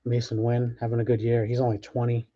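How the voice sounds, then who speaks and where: A man's voice: medium pitch, completely flat delivery, fast. Chatty man with a dull lo-fi sound. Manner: chatty, offhand, thinks aloud with restarts. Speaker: card break host. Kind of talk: casual live commentary while opening packs.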